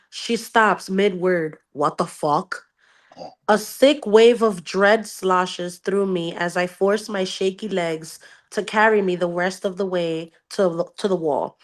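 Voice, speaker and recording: Expressive woman reading a novel aloud. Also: mid-range voice. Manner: expressive, swearing, interjects in Spanish. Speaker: book club podcast co-host. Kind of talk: casual read-aloud with reactions.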